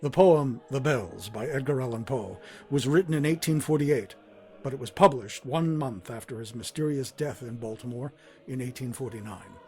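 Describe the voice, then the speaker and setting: A man narrating a scripted documentary as host, in a measured, formal and articulate manner. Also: mid-range voice, a little mellow, soft background music. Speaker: host and director of a radio theater.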